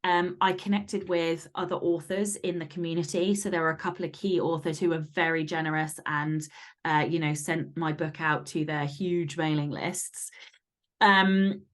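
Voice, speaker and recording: Blunt, sweary woman with a lowish voice. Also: steady tone, moderate pace. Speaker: podcast guest and fantasy romance author. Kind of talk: casual interview chat.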